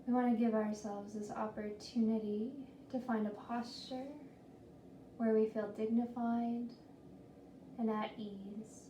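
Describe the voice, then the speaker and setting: Slow, soft-edged woman guiding meditation, roomy sound. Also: on the high side, little pitch movement. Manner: gentle, soothing, deliberate with long pauses. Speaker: meditation guide. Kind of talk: guided body scan meditation. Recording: roomy, distant mic with faint noise.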